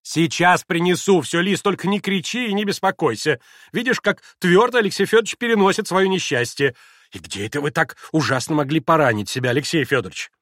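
A man's voice: a little high, expressive, fairly quick, a bit bright, no room sound, studio quality, voice pushed up. A man reading aloud, voicing a theatrical, flustered middle-aged society mother who gushes and exclaims. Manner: gushing, exclamatory, melodramatic.

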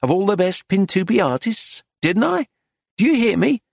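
A woman's voice: on the low side, very animated, normal speed, husky, dry recording, studio quality, voice pushed up, heavily acted. A man voicing a bossy, anxious woman art dealer who scolds and presses with demanding questions in a story reading. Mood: anxious, insistent.

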